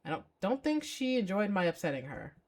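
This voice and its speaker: A woman's voice: medium pitch, sing-song, a little fast, a little mellow. A woman voicing a bitter, lovelorn young man who broods and narrates his own visual novel story. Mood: wistful, brooding.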